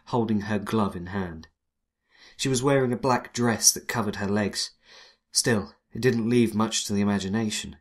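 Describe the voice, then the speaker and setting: A man playing a wry, hardboiled 1920s private detective, narrating in a dry, world-weary deadpan. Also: on the low side, lightly acted. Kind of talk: first-person noir detective narration and dialogue.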